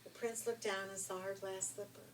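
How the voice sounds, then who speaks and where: A woman's voice: medium pitch, flat delivery, normal speed. Older lady doing folksy storytime, chatty asides, a bit far from the mic w/ faint noise. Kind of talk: informal read-aloud fairy tale storytelling with asides.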